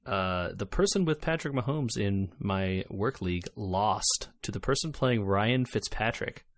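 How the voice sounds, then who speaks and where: A man's voice: lowish voice, animated, measured pace, dry recording. A man co-hosting a podcast in casual sports chat, talking in a loose, hesitant way. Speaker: podcast co-host.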